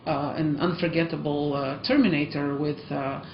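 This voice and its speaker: A woman's voice: low voice, fairly even intonation, normal speed, a little hiss or hum. A woman from Eastern Europe with an Eastern European accent, a language school teacher chatting encouragingly to learners, with many ums and uhs. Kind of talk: casual vlog talk to learners. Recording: echoey, muffled room sound.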